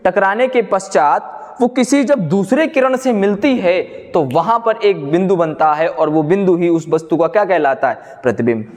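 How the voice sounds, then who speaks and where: Male coaching-class teacher, slightly high voice, explains step by step with question-and-answer phrasing, recorded in a reverberant room. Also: animated, moderate pace, darkish tone. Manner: asks questions and answers them himself, repeats key phrases for note-taking. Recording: echoey hall-like room reverb.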